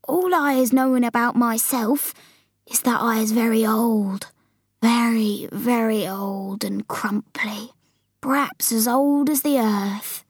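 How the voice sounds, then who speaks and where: A woman's voice: on the high side, slow pace, breathy edge, dry recording, studio quality, full character voice. A woman voicing a very old, forlorn but friendly male giant in a storybook, drawing out mangled words with great emphasis. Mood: musing.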